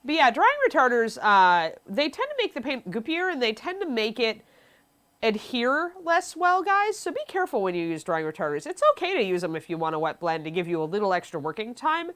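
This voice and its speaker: A woman's voice: on the high side, sing-song, brisk, fairly bright tone. A sniffly young woman, stuffy from allergies, giving a chatty live painting tutorial and answering chat questions. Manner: chatty, reads chat questions aloud, frequent ums. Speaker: miniature painting instructor.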